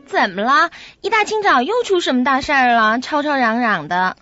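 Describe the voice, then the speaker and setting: A woman's voice: high-pitched, expressive, moderate pace, bright, forceful tone. An actress playing a brash, flippant young woman in a Mandarin radio drama. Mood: nonchalant, annoyed. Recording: muffled lo-fi radio drama, faint music.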